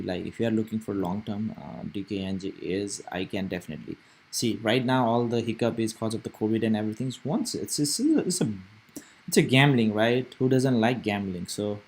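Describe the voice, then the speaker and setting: A young man with a fairly low voice talks through stock charts in a casual, meandering way. He thinks out loud, restarting sentences and filling pauses as he goes. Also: breathy edge. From Nepal. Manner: rambling, thinking aloud with many fillers and restarts. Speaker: stock trading streamer. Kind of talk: casual live market commentary.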